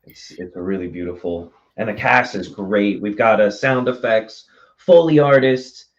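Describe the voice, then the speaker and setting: A man speaking earnestly and haltingly as a theater guest in a casual interview. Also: lowish voice. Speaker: theater company member and guest. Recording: dull, lo-fi sound.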